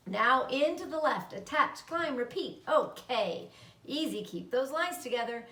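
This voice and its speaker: A woman's voice: high voice, expressive, measured pace, a bit bright. A woman voicing a busy female spider in a storybook, coaching herself with short, encouraging commands. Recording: roomy echo, distant mic.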